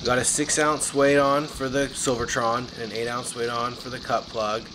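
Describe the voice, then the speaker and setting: A man's voice: medium pitch, little pitch movement, moderate pace. Laid-back man vlogging outdoors, noisy background. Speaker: fishing vlogger. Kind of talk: casual vlog narration to camera. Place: outdoors on a small motorboat on a river. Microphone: action camera at arm's length or mounted on the boat. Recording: noisy outdoor action-camera audio.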